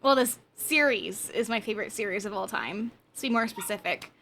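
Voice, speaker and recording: A woman's voice: medium pitch, brisk, creaky, a bit bright, a little hiss or hum. A woman in her twenties chatting casually with viewers on a game livestream, with a chatty, bubbly, giggly manner. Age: 20s. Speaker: game streamer.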